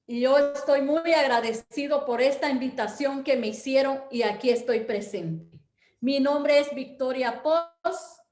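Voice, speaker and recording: High-voiced Guatemalan woman, rallying. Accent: Guatemalan Spanish. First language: K'iche'. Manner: earnest, rallying, calls for courage with religious blessings. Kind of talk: impassioned address to an online audience. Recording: roomy echo.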